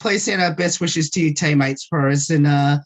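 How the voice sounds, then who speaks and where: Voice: medium pitch, moderate pace. A radio show host thanking guests on a video call, speaking in a friendly way with many hesitant fillers. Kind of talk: interview sign-off.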